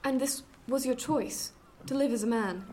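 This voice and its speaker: A woman's voice: high-pitched, moderate pace, mellow, slight background noise, natural voice. A woman playing a proud, guarded wealthy lady in a period radio drama, speaking formally and with some hauteur. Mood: curious.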